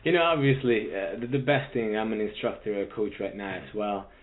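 A man's voice: fairly low pitch, fairly quick, slight background noise. A man, a tennis coach answering interview questions earnestly with many fillers. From Hungary. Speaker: guest, tennis coach and director. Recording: tinny phone-like line.

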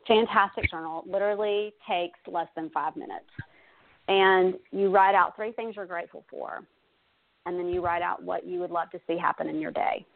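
A woman's voice: on the low side, gravelly. A woman in her thirties talking conversationally as a guest giving self-care advice, explaining chattily with examples. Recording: dull phone-like audio.

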